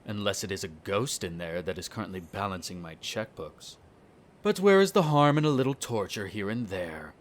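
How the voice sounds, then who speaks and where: A man's voice: fairly low pitch, animated, normal speed, a little hiss or hum, lightly acted. A man playing a smug, cruel vampire noble in an audio roleplay, speaking in a haughty, condescending, formal drawl. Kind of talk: scripted audio roleplay acting.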